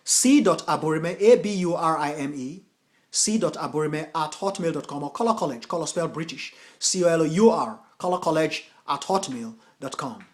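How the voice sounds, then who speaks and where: A man with a fairly high-pitched voice reads verses of scripture, pushing hard on key phrases. Between readings he turns loose and informal as he talks teaching to his viewers, calling them folks. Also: animated, normal speed. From United States. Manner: declaims verses with forceful emphasis, then talks casually, addressing viewers as folks. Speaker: Buddhist translator and teacher.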